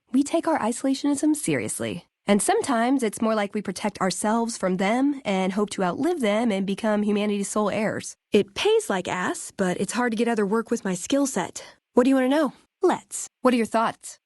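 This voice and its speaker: A woman's voice: medium pitch, darkish tone, no echo, studio quality, natural voice. A woman voicing a wry, idealistic young scavenger in a video game, speaking in a sardonic, conversational way. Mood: playful. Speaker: video game companion character. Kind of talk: naturalistic game dialogue acting.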